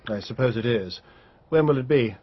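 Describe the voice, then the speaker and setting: An actor playing a troubled, bluff upper-class English country gentleman in a radio drama, polite but blunt and a little hesitant. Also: medium pitch, normal speed, breathy edge, natural voice. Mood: businesslike, troubled. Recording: dull, lo-fi radio drama recording.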